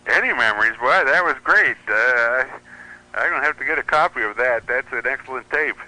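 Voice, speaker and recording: A man's voice: mid-range voice, lively intonation, brisk, pushed and bright, poor audio. A man chatting casually as a guest on a radio show. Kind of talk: casual chat.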